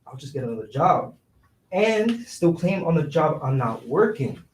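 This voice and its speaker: A man's voice: a little high, sing-song, moderate pace, a little hiss or hum. An actor playing a scheming, broke young city man in a staged reading, talking in slangy, persuasive street phrasing. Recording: roomy echo, distant microphone.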